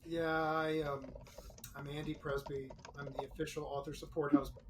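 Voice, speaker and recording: Middle-aged man, fairly high voice, eager explainer, off-mic with faint noise. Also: level tone, normal speed, distant mic. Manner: explanatory, eager, some fillers. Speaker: guest, author's husband. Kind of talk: casual interview answers.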